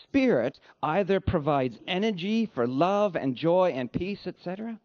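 A man preaching a sermon as a pastor, with an emphatic, measured rhetorical delivery. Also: medium pitch, dull audio. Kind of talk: sermon with read-out quotations.

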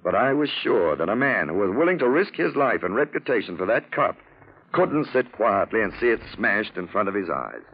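An actor playing a suave, witty, self-satisfied gentleman detective in a 1940s radio drama, with an urbane, theatrical manner of explaining. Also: fairly low pitch, big pitch swings, normal speed, slightly rough, exaggerated voice. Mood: amused, self-satisfied. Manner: urbane, theatrical, drawling explanations in lettered points. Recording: dull, muffled vintage radio recording.

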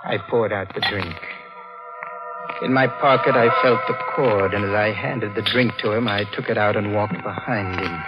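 A man's voice: fairly low pitch, normal speed, highs cut off, natural voice. A tormented young man, played by a male radio actor bares a murderous conscience in a 1940s thriller. His delivery is intense and overwrought, with prominent music running behind him on an old recording. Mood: brooding confession. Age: young adult.

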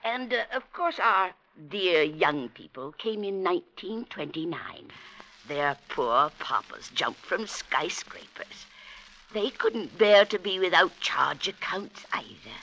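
An actress in 1940s radio drama playing a haughty, genteel old society matron with a commanding, menacing manner. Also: female voice, lowish voice, very animated, slow pace, fairly bright tone, muffled recording, exaggerated voice. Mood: gracious, snobbish.

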